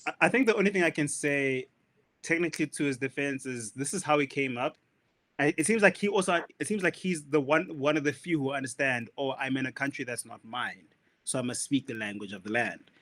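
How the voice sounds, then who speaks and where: A man co-hosting a casual podcast chat, playful and thinking out loud as he talks. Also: medium pitch, a little fast. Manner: playful, hedging and thinking aloud. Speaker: podcast co-host.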